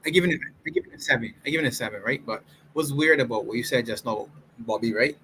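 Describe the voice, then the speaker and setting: Animated man breaking down football tactics. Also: medium pitch, fast, slight background noise, rough recording. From Barbados. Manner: animated, explains tactics at length. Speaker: football fan show host. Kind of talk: casual match reaction chat.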